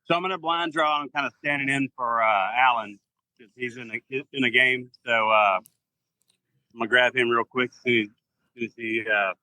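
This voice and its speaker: A man's voice: mid-range voice, moderate pace, sharp tone, no room sound, low-quality recording. A man phoning in to a podcast as a guest, chatting casually. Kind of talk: casual chat. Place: at a cornhole event, on the phone.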